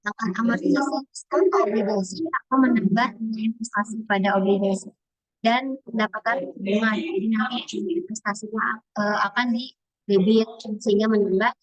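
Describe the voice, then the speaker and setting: A woman's voice: on the high side, fairly even intonation, fairly quick, no echo. A young woman, a student explaining answers informally in a class presentation. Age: young adult. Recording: dull, lo-fi audio.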